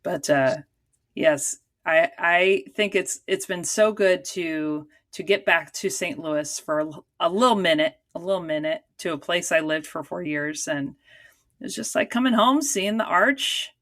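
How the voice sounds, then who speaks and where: A woman's voice: on the low side, unhurried, fairly bright tone, no room sound, studio quality. A religious sister cohosting a podcast, a woman talking in a playful, casual way with laughs and emphatic asides. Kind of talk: casual chat.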